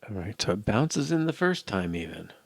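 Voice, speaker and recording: Older man, gravelly fry, close dry sound, casual musing, thinking aloud while programming. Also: fairly low pitch, sing-song, normal speed, dry recording. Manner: musing, muttering to himself. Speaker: programmer hosting a live coding session. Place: indoor room at home.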